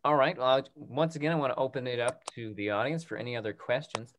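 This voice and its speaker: A man's voice: medium pitch, fast talker, a bit bright, slight background noise. A man, sounding in his thirties, moderating a talk-back panel over a video call. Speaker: host, moderator.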